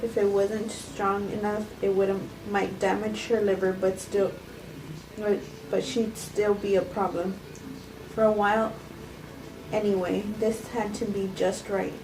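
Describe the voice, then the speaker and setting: Female, self-justifying poisoner confessing calmly, read by a woman; halting cold read, distant mic in an echoey, noisy room. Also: medium pitch, natural voice. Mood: matter-of-fact. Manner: halting, stumbling amateur reading. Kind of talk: cold table read of a script.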